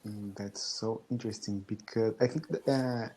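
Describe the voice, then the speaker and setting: A man in his twenties who is learning English, speaking slowly on a video call with frequent restarts and small laughs. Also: on the low side, steady tone, mellow. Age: 20s. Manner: slow and halting, restarts often, laughs between phrases. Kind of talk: casual video-call chat. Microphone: video call headset or laptop mic.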